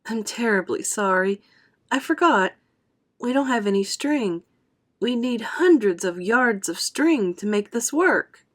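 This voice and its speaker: A thoughtful, apologetic young boy from a children's storybook, played by a woman. He speaks slowly and haltingly, in a gentle murmur. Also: a little high, expressive. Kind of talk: storybook character voice in a read-aloud.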